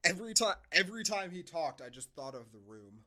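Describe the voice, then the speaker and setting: A man's voice: on the high side, very animated, brisk, bright, forceful tone. A man hosting a podcast, chatting casually about movies with sarcastic, exasperated asides. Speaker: podcast host. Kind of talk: casual movie review banter.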